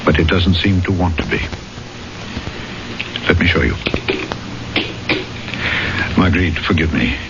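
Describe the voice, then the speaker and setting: An actor playing a grave, troubled official in a radio drama, speaking in a measured, brooding way with dramatic pauses. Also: male voice, deep voice. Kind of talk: 1970s radio drama acting. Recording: noisy, dull old radio recording.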